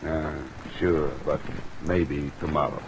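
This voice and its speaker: An actor playing a cool, dogged American investigator in an old radio drama, clipped and matter-of-fact. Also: male voice, a bass voice, steady tone, slow pace, heavy background noise. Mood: dry, skeptical. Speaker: lead actor playing detective and narrator. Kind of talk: 1940s-50s radio detective acting. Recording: old radio recording with rain overlay.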